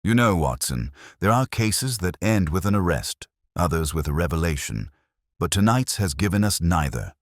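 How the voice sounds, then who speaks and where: A man acting out a philosophical gentleman detective in an audiobook mystery. The voice is very low and husky, with expressive rises and falls. He reasons quietly and deliberately, in grave aphorisms. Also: dry recording, clean studio sound. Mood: reflective. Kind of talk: audiobook character dialogue.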